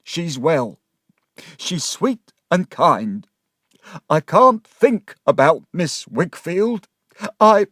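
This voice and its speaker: A man voicing a distraught, guilt-ridden older gentleman in an impassioned, exclamatory way for a dramatised audiobook. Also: sits high, sing-song, slow, gravelly, hardly any pauses, no echo, clean studio sound, pitched above the performer's own voice, heavily acted. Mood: tearful.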